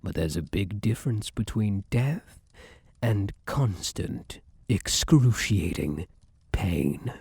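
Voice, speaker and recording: A man acts out a smug, possessive male spirit of luck in an intimate ASMR roleplay. He takes his time, with a gravelly creak and pitch that swoops theatrically. The manner is coaxing and suave, with menace underneath. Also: lively intonation, takes it slowly, a little mellow, no echo, clean studio sound, exaggerated voice. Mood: veiled threat. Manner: theatrical, coaxing, old-fashioned flowery slang and endearments.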